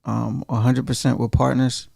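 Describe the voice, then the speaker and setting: A soft-spoken man in his forties with a gravelly voice, pausing often with ums as he answers a host. He speaks on a close studio mic in a dry room. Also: mid-range voice, little pitch movement, no echo. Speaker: guest entrepreneur. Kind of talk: interview answers.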